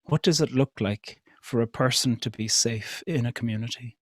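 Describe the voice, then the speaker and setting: A man's voice: medium pitch, dry recording. Reflective Irish man, dry close sound. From Ireland. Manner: measured, thoughtful, many rhetorical questions and soft hesitations. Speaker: speaker at an interfaith event. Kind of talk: reflective public talk.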